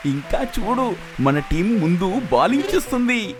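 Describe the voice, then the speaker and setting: An adult performer voicing an eager, excitable young boy in a children's cartoon, exclaiming his lines. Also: mid-range voice, measured pace, music in the background.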